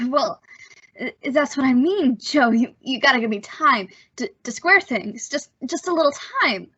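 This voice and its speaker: A woman's voice: high voice, animated, unhurried, bright, forceful tone, slightly rough, heavily acted. A woman playing a nervous, evasive young man with a hesitant manner in an old-time radio suspense drama. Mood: anxious, pleading. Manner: hesitant, stammering under pressure. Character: nervous young man, evasive impostor telling his story. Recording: dull, lo-fi audio.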